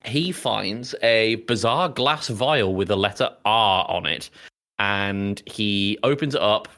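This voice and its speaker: A man's voice: lowish voice, slow pace, fairly bright tone. A man co-hosting a podcast, recapping a film in a casual, rambling way that often interrupts itself. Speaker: podcast co-host.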